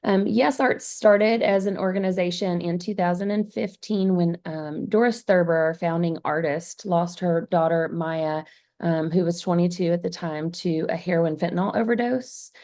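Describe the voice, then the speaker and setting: Hoarse low-voiced woman presenting. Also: fairly even intonation, mellow. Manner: brisk, organized, hurried. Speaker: executive director. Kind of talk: webinar presentation.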